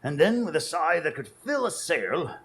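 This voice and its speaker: A man's voice: on the high side, big pitch swings, moderate pace, fairly bright tone. A man playing an earnest Victorian doctor who recounts adventures in a radio drama with literary, dramatic storytelling. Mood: solemn, suspenseful. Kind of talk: radio drama narration and acting.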